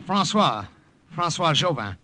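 An actor playing an earnest young American army sergeant who also narrates, in a 1940s radio drama. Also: male voice, a little high, big pitch swings, a little slow, pushed and bright, no echo, heavily acted.

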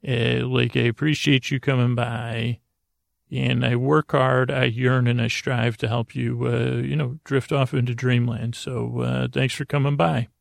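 A man hosting a bedtime podcast in a creaky, lulling voice, rambling haltingly and trailing off into long-winded tangents. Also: mid-range voice, little pitch movement, no room sound, studio quality. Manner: lulling, soothing delivery. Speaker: podcast host.

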